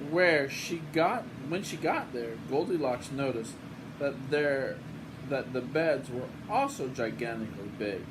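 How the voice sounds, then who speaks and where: Slow-paced older man reading a storybook, noisy recording. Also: mid-range voice. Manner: unhurried, playful, casual. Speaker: grandfather reading a picture book aloud. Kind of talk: storybook read-aloud with folksy asides. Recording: home recording with steady room hum.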